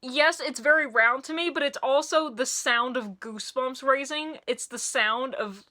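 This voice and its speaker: A woman who is losing her voice hosts a casual song review to camera, gushing and excitable, often restarting her sentences. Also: high-pitched, moderate pace, over music. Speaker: music reviewer and video host.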